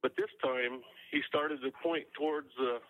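A man telling an eyewitness account as a guest, in a plain-spoken, halting way. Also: mid-range voice, steady tone, narrow, tinny audio. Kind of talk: spontaneous storytelling.

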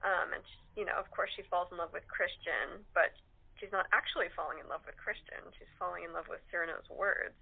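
A woman's voice: on the low side, steady tone, a little fast, creaky, slightly rough. A woman actor giving a casual, informal interview as a guest. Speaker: actress being interviewed as guest. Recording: tinny phone line.

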